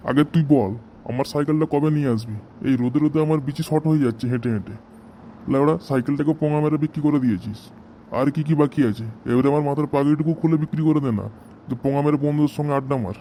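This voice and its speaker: A man's voice: a little high, fast, mellow, slight background noise, lightly acted. A man voicing a hot-tempered, foul-mouthed village father in an amateur comedy cartoon, grumbling and scolding in a West Bengal accent. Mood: angry scolding. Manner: grumbling, scolding, heavy cursing.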